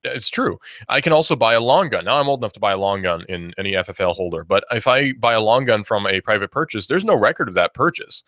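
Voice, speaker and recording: A man's voice: lowish voice, expressive, fast, hardly any pauses, fairly bright tone, no echo, clean studio sound. A man in his twenties hosting a radio talk show, rambling through his opinions with fillers and restarts. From United States. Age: 20s. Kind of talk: casual talk radio monologue.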